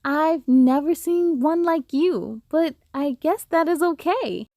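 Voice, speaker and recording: A woman voicing a shy, orphaned young male fawn from a storybook, speaking in a gentle, earnest manner. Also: sits high, animated, slow pace, dry recording, studio quality, exaggerated voice. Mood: solemn, lonely.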